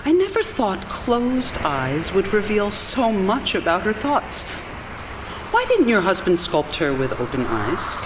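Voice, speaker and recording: A woman's voice: mid-range voice, wildly swooping pitch, measured pace. An actress playing an earnest, searching woman full of wonder, in a theatrical stage drama. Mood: wondering, curious. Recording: live theatre recording, clear background noise.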